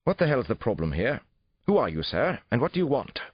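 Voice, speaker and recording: A haughty English official, as a male narrator plays him, pompous and easily outraged. The clipped accent rides well above a deep natural register, loud and insistent, in slightly dulled audio. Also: very animated, fairly quick, husky, no room sound, muffled recording. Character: haughty, indignant English male embassy official, slippery villain. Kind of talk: audiobook character dialogue.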